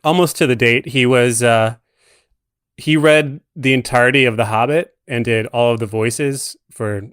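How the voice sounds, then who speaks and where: A man's voice: mid-range voice, steady tone, normal speed, a bit bright, smooth, no room sound, studio quality. A man speaking as a podcast guest in a casual, conversational discussion of film.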